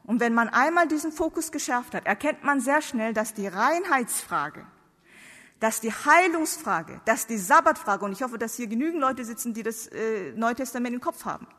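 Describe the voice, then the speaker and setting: High-voiced woman preaching in a reverberant hall. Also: expressive, normal speed, a bit bright. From outside Germany. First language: not German. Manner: emphatic, rhetorical questioning. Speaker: speaker, theologian preaching. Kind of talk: theological sermon to an audience. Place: large reverberant hall before seated audience. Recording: long reverb of a large hall.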